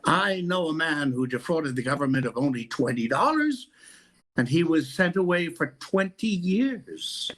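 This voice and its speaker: A woman playing a dry, sarcastic psychiatrist with a deadpan manner in 1940s-style radio comedy. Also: deep voice, a little slow. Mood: gravely deadpan. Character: dry, sardonic female psychiatrist, exasperated and wordy.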